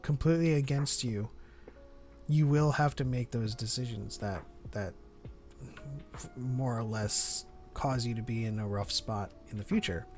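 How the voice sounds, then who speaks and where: A man's voice: medium pitch, unhurried, a little mellow, soft background music. A man hosting a fan livestream, talking casually with a group and addressing viewers. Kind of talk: casual group discussion and reading viewer chat.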